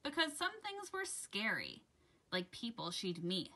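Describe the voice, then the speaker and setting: A woman host reading aloud to children in an expressive, deliberate storytelling style. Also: mid-range voice. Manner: dramatic, deliberate storytelling delivery addressed to children. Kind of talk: expressive read-aloud of a rhyming children's picture book.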